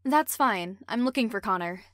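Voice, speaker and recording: A woman's voice: medium pitch, animated, moderate pace, fairly bright tone, no echo, clean studio sound, natural voice. A woman voicing a young witch who returns to her friends, speaking earnestly and faltering when emotional. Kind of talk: amateur roleplay voice acting.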